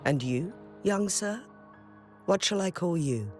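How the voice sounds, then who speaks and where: A courteous recluse from a video game. His voice is a little high for a man, with calm, formal, gracious phrasing. Music runs clearly behind him. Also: slow pace. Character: courteous, unhurried male host living in seclusion.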